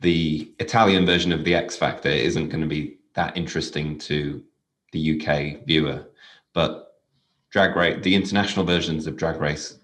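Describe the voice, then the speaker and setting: A man's voice: rumbling low, fairly bright tone. A man working as an interviewer, putting questions in a conversational, journalistic style.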